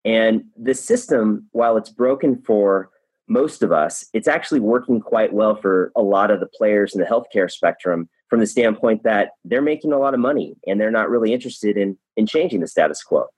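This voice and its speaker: Male podcast host, low husky voice, dry close sound, upbeat, repeats words. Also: expressive, moderate pace, a little mellow, no echo, low-quality recording. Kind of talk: podcast interview.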